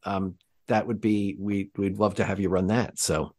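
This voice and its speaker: A man's voice: deep voice, flat delivery, moderate pace, a little mellow. Rambling male podcast host. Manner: rambling, full of ums and restarts. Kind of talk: casual chat.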